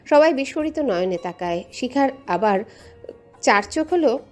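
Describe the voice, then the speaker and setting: A woman's voice: high voice, lively intonation, normal speed. A woman reading a scripted romantic story as a dramatic narrator, with music behind her. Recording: music under the voice. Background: background music.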